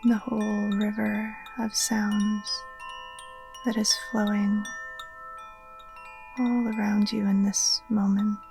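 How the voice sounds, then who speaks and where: A woman gently guiding a relaxation meditation in a soft, breathy, near-whispered voice, soothing and unhurried. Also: medium pitch, level tone, over music. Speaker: yoga nidra teacher. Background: occasional chimes.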